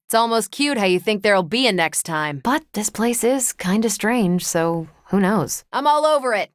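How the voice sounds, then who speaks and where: Actress for video game superheroine, ex-military pilot: American, slightly low register, self-assured, dry teasing wit, very dry recording. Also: female voice, dry recording, lightly acted. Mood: relaxed, teasing, then battle-ready, forceful. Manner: casual, dry, teasing confidence. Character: confident, wry female superhero and former military pilot. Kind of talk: video game character dialogue.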